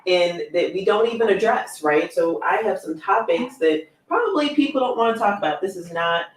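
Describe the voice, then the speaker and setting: A woman's voice: fairly low pitch, expressive, moderate pace, distant mic. Woman podcast host, rambly and chatty, voice kinda going, echoey room, sitting back from the mic. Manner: chatty, rambling, with many fillers. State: losing her voice. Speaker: podcast host and conflict coach. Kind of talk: casual livestream podcast chat.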